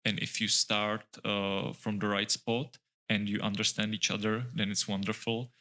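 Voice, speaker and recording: A middle-aged man speaks English in a low, level voice with a bright, forward edge. He talks in a considered, halting way, stopping often to search for the next word. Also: little pitch movement. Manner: thoughtful, halting, with many "uh" pauses and restarts. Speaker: architect guest. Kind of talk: casual interview chat.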